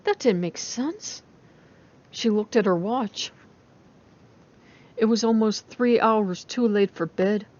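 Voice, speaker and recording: A woman's voice: mid-range voice, takes it slowly, long pauses, darkish tone, muffled recording. A woman reading a story aloud as a dramatic audiobook narrator. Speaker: story reader.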